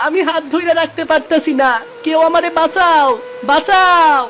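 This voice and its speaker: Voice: very high voice, steady tone, normal speed, music in the background. A woman voicing a young village boy with a rural Bangladeshi accent in a dramatized story. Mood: terrified, pleading. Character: frightened young boy, Rafi, village child.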